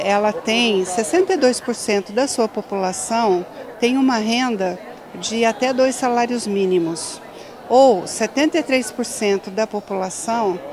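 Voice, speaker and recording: A middle-aged woman speaking earnestly in an interview, citing figures, into a handheld mic in a large sports hall. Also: a little high. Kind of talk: interview answer. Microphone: handheld mic held up by a reporter. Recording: crowd murmur behind.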